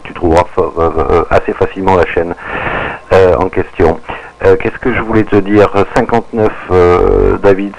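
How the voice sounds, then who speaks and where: A man's voice: low-pitched, creaky, darkish tone. A man chatting casually as an amateur radio operator, hesitating and repeating his phrases, heard on lo-fi audio. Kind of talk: casual ham radio chat.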